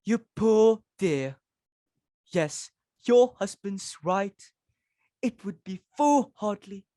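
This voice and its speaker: A woman's voice: medium pitch, lively intonation, slow, warm tone, dry recording, studio quality. A woman playing an imperious, frantic, self-important society hostess and domineering mother in a dramatic reading of a stage comedy. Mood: gushing sympathy. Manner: theatrical, self-important, exclamatory.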